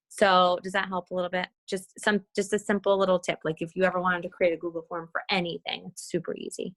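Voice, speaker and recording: A woman's voice: lowish voice, creaky, fairly bright tone, no echo. A woman leading an informal screen-share walkthrough on a team call, talking casually and thinking aloud as she demonstrates. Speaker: team leader giving a tutorial.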